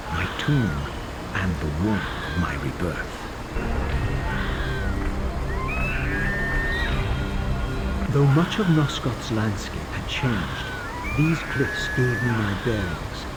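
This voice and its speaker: A man voicing a proud, vengeful undead vampire in a video game, brooding and bitter, with measured, grandiose, disdainful theatrical delivery. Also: sits low, low-quality recording. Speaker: protagonist narrator. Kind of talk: dramatic scripted game narration.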